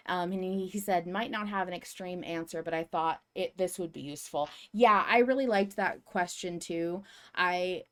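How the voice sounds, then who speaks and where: Low-pitched woman in casual podcast chat. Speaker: podcast co-host. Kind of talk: casual fan discussion.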